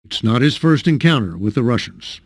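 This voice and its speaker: Guy reporting the news, middle pitch, crisp, asks pointed questions. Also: lively intonation, normal speed, dry recording, clean studio sound. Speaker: television correspondent.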